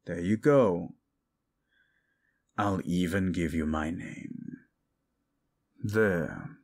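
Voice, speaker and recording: A man as a low-voiced, condescending demon. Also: vocal fry, darkish tone, full character voice. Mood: taunting, smug. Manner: condescending, mocking, drawling exasperation. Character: condescending male demon, fallen angel, sardonic and smug. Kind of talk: intimate roleplay audio drama monologue.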